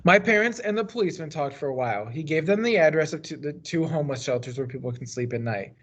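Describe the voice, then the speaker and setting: A man's voice: fairly high pitch, animated, fast talker, a bit bright. An American man reads a children's novel aloud as a teacher would, stressing the starts of phrases and letting the endings fall. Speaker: teacher reading a book aloud. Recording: dull, lo-fi sound.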